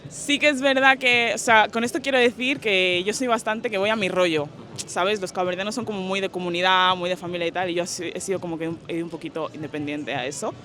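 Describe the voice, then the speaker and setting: A woman's voice: fairly low pitch, fairly even intonation, quick pace, few pauses, pushed and bright, slight background noise. A young woman from Spain answering street interview questions in a thoughtful, casual and slangy way. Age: young adult.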